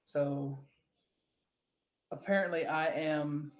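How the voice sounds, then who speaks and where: A woman's voice: deep voice, fairly even intonation, slow. A woman co-hosts a casual live-stream show. She reads viewer comments aloud and rambles between them, swearing freely and laughing easily. Her voice rings in an echoey room. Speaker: co-host.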